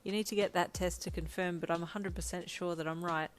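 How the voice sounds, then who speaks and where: A woman's voice: fairly low pitch, fairly even intonation, quick pace, lightly acted. A woman voicing a doting, cheerful boyfriend in a romance story, tender and eager. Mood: reassuring. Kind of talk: character voice in romance story reading.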